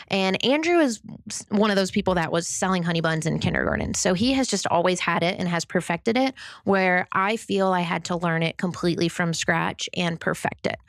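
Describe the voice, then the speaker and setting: High-voiced woman with a gravelly edge. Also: no echo, studio quality. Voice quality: high-pitched. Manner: upbeat, candid, self-deprecating. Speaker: podcast co-host. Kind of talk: solo podcast advice talk.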